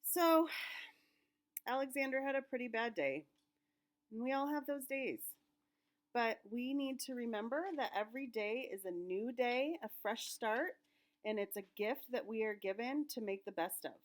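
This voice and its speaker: High-voiced woman reading to children. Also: moderate pace. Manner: expressive, addresses children directly, simple explanatory phrasing. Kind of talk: children's picture book read-aloud, then talking to young listeners.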